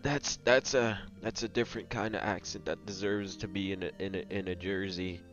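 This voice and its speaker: Man voicing mild cartoon sidekick, hesitant, matter-of-fact, quieter low delivery, muffled audio, music underneath. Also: steady tone, normal speed, no room sound. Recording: dull lo-fi audio with music behind.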